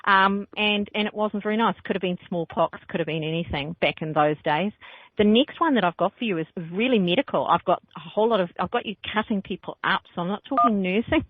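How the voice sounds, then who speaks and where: A woman's voice: medium pitch, a little fast, dry recording. Joking woman host on tinny radio. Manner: playful, jokey, laughs often. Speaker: radio show host and psychic reader. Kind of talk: casual call-in radio chat. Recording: phone-like lo-fi radio sound.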